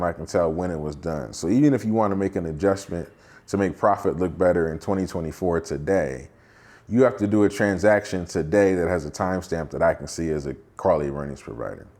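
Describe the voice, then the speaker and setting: A man's voice: deep voice, a little mellow. An American man working as a due diligence advisor, explaining a case in an emphatic, colloquial storytelling style. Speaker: due diligence advisor, quality of earnings provider. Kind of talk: explanatory storytelling to camera with acted-out dialogue.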